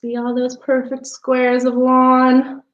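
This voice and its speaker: A woman's voice: high voice, muffled recording. A woman hosting a children's story time, reading aloud expressively and addressing young listeners directly, in a roomy livestream recording. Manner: expressive, pausing to point out pictures to young listeners. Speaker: parks foundation outreach coordinator hosting story time. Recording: roomy, echoey livestream sound.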